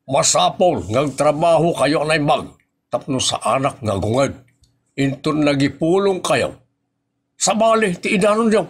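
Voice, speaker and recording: An actor with an Ilocano Filipino accent playing a gruff, scolding boss and henpecked husband in a comedy audio drama. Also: male voice, medium pitch, heavily acted.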